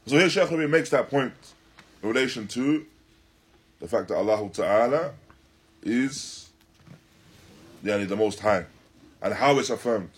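A man teaching in a religious lecture, deliberate and emphatic, repeating and rephrasing his phrases as he explains a text. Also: medium pitch, lively intonation, slightly rough. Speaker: religious teacher giving a lesson. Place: Islamic centre, live stream.

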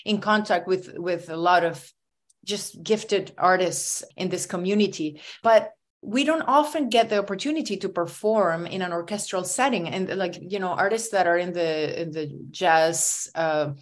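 A woman's voice: fairly low pitch, moderate pace. A woman from Venezuela, a singer and bandleader, speaking earnestly in a radio interview, often restarting and saying "you know".

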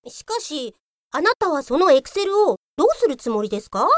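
Actress doing a bossy anime lady cop, super high voice, swoopy, officious, scolds people, radio comedy. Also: big pitch swings, moderate pace, no room sound, clean studio sound. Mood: stern. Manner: officious politeness snapping into scolding. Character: strict, hot-tempered young policewoman of an Office software squad.

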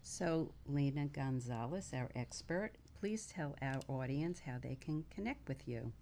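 Woman, deep for a female voice, co-host, direct, conversational interviewer. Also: animated, measured pace, dark tone, slight background noise. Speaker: radio show co-host, interviewer.

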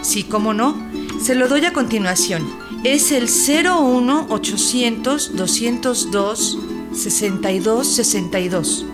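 A woman's voice: fairly high pitch, expressive, a little slow. A woman with a Mexican accent playing a helpful, composed health hotline operator in a scripted radio drama, formal and explanatory. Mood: polite, informative. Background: guitar music.

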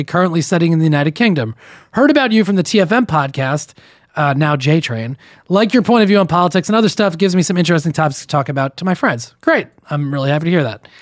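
Guy on a podcast reading emails out loud, kinda high voice, dry close mic. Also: dry recording. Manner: reads emails aloud with running commentary, frequent restarts. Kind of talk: casual podcast chat.

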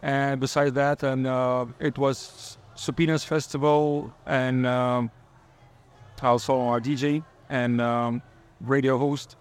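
Monotone man from Lithuania, rambling. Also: medium pitch, takes it slowly. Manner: rambling, searching for words. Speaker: panel guest, event organizer and DJ. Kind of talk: casual self-introduction.

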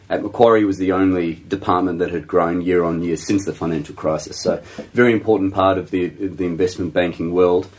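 A man's voice: deep voice, a little mellow. A young man with an Australian accent informally introducing his professional background as a podcast guest.

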